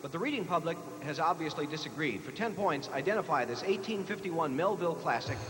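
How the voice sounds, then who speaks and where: A man's voice: on the high side, expressive, brisk, fairly bright tone. A man with an American accent hosting a live quiz show, reading out questions to the players. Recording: echoey hall, noisy old TV broadcast.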